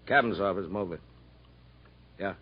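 An actor playing a terse, casual prison officer in a 1950s radio drama. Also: male voice, low voice, normal speed, breathy edge. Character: laconic male prison officer, subordinate to the captain. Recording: muffled 1950s radio broadcast.

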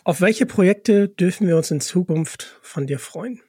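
Man, very high-pitched voice, podcast host, informal, appreciative, dry recording. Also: a little slow, darkish tone, husky, dry recording. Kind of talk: casual podcast hosting.

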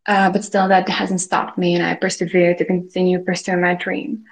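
Guest type: a slightly low-voiced woman from the Czech Republic, sincere and measured. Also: completely flat delivery, gravelly. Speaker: guest designer. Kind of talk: casual conversation.

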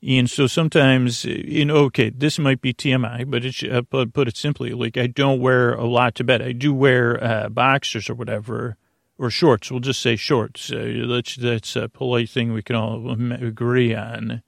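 A man's voice: medium pitch, flat delivery, normal speed, creaky, no room sound, clean studio sound. A male podcast host talking in a meandering sleep-show monologue, full of fillers, restarts and self-deprecating asides.

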